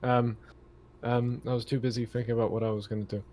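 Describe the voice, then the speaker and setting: A man's voice: medium pitch, level tone, quick pace, a little mellow. A man playing a tabletop game, talking casually in a hesitant, tentative way.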